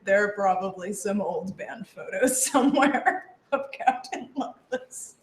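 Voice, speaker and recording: A woman's voice: medium pitch, a little slow. A woman panelist chatting casually, with an informal, joking way of talking. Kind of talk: casual chat. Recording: roomy, echoey sound.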